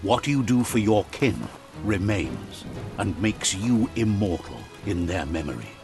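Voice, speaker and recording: A calm, wise game narrator with a lowish voice. He speaks in slow, measured sayings like an old sage. Clear music plays behind him in the game audio.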